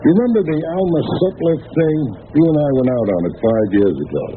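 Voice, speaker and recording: A weary police lieutenant in an old radio drama. He is guarded and matter-of-fact, halting at times, with lively movement in his pitch. The recording is narrow and slightly noisy. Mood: sly, suspicious.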